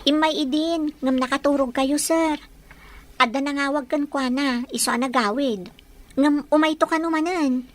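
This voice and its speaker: A humble household maid and mother in an audio drama, acted by a woman, with a high voice. She speaks meekly and respectfully. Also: normal speed, slight background noise, natural voice. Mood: deferential. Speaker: drama actress. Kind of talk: Ilocano audio drama acting.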